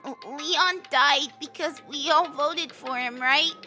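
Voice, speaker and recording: A woman's voice: high-pitched, slow, bright, forceful tone, exaggerated voice. A woman voicing a timid, guilt-ridden small teenager who stammers hesitantly, in an anime fandub. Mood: anguished, timid. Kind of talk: anime game fandub acting. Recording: music under the voice.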